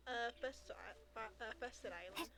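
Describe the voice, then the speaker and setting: A woman's voice: fairly high pitch, slow, vocal fry, bright, forceful tone. A woman game streamer chatting casually and reacting aloud during a live stream. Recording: game music behind the voice. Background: video game music.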